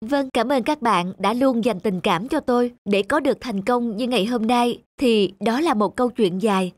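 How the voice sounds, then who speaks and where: A woman's voice: a little high, moderate pace, dry recording, natural voice. A woman playing a proud yet wounded young fashion designer and model in a cartoon drama, with music behind. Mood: composed, grateful. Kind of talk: Vietnamese cartoon drama dubbing.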